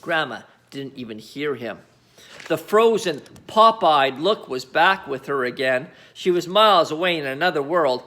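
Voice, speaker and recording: A man reading a children's story aloud as storyteller, with dramatic, emphatic phrasing and deliberate pauses. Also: on the high side, expressive, pushed and bright. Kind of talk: animated children's story narration.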